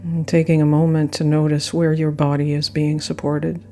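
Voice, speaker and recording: A woman guiding a yoga nidra meditation with gentle, unhurried phrasing and frequent pauses. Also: low voice, flat delivery. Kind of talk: guided meditation narration. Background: soft meditation music.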